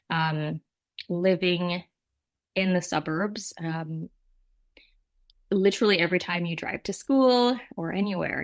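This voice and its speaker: A middle-aged woman from Jamaica speaks as a nonprofit representative in an interview. Her voice sits on the low side and has a strong creak. She explains things reflectively, adding asides as she goes. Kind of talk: conversational interview answers.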